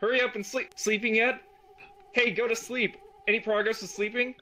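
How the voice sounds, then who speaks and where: A man's voice: high-pitched, steady tone, normal speed, sharp tone, slight background noise. A man voicing a swarm of pesky mosquito girls who needle and mock impatiently. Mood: taunting.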